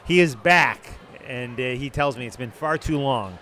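A man's voice: mid-range voice, moderate pace, sharp tone, a little hiss or hum. A man from the Buffalo area co-hosting a casual podcast chat in a hotel bar, upbeat as he introduces the guests. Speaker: podcast co-host.

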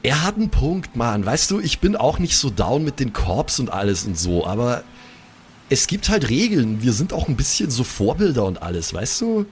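A man plays a laid-back, slangy superhero in an audio role-play. His mid-pitched delivery swings widely and is full of borrowed English slang. There is faint noise behind him. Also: very animated, moderate pace. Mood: exasperated. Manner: colloquial, English slang mixed in. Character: slangy, law-abiding young male superhero surfer dude.

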